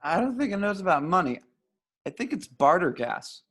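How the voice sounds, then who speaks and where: A man's voice: sits high, sing-song, moderate pace, a bit bright, exaggerated voice. A man at an amateur table read playing a paranoid young stoner who rambles in grand, wordy pronouncements. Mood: wry. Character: paranoid young male stoner, rambling anti-establishment philosopher.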